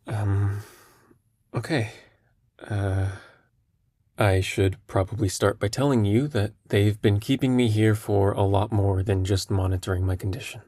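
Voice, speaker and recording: A man playing a terminally ill young patient in an intimate audio drama, speaking in a husky, creaky voice with a halting, understated manner. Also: on the low side, steady tone, mellow, no room sound, clean studio sound. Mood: hesitant, subdued. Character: young terminally ill male hospital patient, numb, gently self-deprecating.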